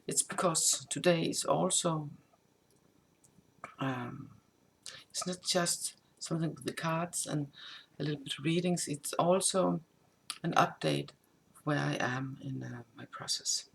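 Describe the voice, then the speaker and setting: A woman whose first language is Danish, a tarot reader who gives a casual spoken update, halting and improvising with many restarts. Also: deep voice, vocal fry, slightly rough.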